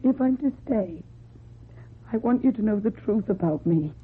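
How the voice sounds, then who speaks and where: A husky, timid young woman. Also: mid-range voice, animated, normal speed. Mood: confessing, uneasy. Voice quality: husky, breathy. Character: timid, guarded young runaway woman confessing her past. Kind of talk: old-time radio drama acting. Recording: muffled, phone-like old radio recording.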